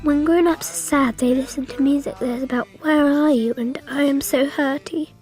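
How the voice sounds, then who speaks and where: A woman's voice: sits high, fairly even intonation, normal speed, darkish tone, faint music behind, natural voice. A female performer playing an innocent, watchful little girl who narrates a radio drama in an artless, matter-of-fact way. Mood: wistful. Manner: simple, artless, matter-of-fact childlike telling.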